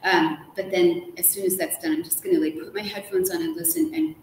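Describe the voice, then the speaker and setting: A woman's voice: medium pitch, sing-song, brisk. A woman being interviewed as an author, talking casually and with animation, scattering "like" through her informal answers. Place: large ornate hotel room, indoors. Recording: echoey room with faint background noise.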